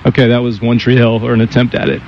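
A man's voice: mid-range voice, completely flat delivery, fast, noisy background. A man being interviewed outdoors, talking in a rambling, self-mocking way. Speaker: interviewee doing a rock singer impression. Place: outdoors on a city street. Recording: dull lo-fi outdoor recording.

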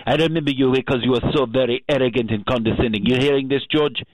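A man's voice: mid-range voice, fairly even intonation, fast, no echo, close to an everyday voice, rough recording. A man voicing an indignant, insulting French sommelier as a radio caller, pompous and aggrieved, in broken formal English with a French accent. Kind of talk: comic prank call to a radio show.

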